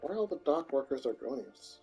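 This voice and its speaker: A man's voice: medium pitch, expressive, a little fast, mellow, soft background music. A man casually chatting and joking while streaming a fantasy game on a mic. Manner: chatty, joking, talks to chat and characters. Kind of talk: casual gameplay commentary. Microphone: headset or desk mic.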